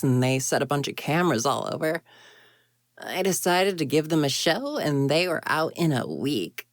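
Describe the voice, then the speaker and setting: A woman's voice: deep voice, dry recording, studio quality. A woman voicing a grumpy, proud young female ghost in an intimate roleplay audio drama, huffy and easily flustered. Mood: smug, boastful. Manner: huffy, indignant, flustered stammers, conversational.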